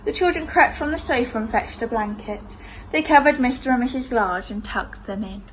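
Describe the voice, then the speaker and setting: Expressive woman storyteller with lively pitch. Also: normal speed, a little hiss or hum, poor audio. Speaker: primary school teacher reading stories. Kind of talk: expressive children's storybook narration.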